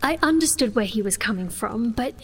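A woman's voice: on the high side, expressive, fairly quick. An actress playing a sheltered, proud young sorceress who tells her own story in an earnest, intimate way in a fantasy audio drama. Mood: reflective. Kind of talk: first-person fantasy audio drama narration and dialogue.

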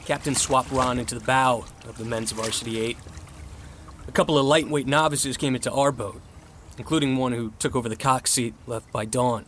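An actor playing an earnest, competitive young novice rower, narrating an audio drama in the first person with candid introspection. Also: male voice, mid-range voice, fairly even intonation, moderate pace, a bit bright, a little hiss or hum, lightly acted. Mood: puzzled, recounting. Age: young adult. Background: music.

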